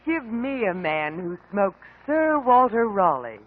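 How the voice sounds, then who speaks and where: Animated vintage radio ad woman. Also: mid-range voice, a little slow. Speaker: advertising spokeswoman. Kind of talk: 1950s radio commercial, scripted. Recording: muffled, noisy 1950 radio recording.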